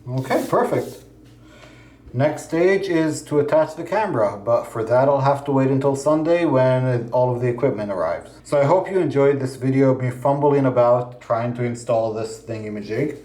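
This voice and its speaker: A man hosts a casual how-to video, talking through each step as he tinkers and thinks aloud. His voice sits at an ordinary middle pitch. The room around him rings with a noticeable echo. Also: normal speed, dark tone. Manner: chatty, thinks aloud while fiddling with gear. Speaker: vlogger. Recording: echoey room.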